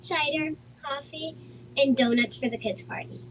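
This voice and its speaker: A woman's voice: sits high, normal speed, a bit bright, slight background noise, poor audio. A woman playing a bossy, precocious nine-year-old girl in an amateur audio play, with a commanding, matter-of-fact delivery. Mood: bossy, brisk.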